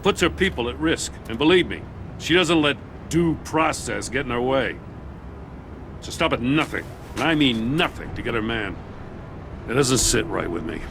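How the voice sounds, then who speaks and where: An actor playing a wary, principled veteran police commissioner in a video game, gruff, plain-spoken and skeptical. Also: male voice, mid-range voice, animated, moderate pace, noisy background. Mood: troubled, exasperated. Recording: clear outdoor urban ambience behind.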